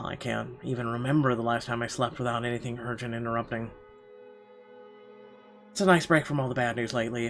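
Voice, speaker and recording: A male let's player with a medium-pitched voice reads game narration aloud. He drops in wry, sardonic asides in a casual way, with soft game music underneath. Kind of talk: reading a visual novel aloud with casual commentary.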